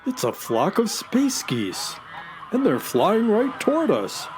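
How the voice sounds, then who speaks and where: A man voicing a loyal, expressive talking space horse in a children's audio drama. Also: high-pitched, takes it slowly, background noise, exaggerated voice. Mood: alarmed.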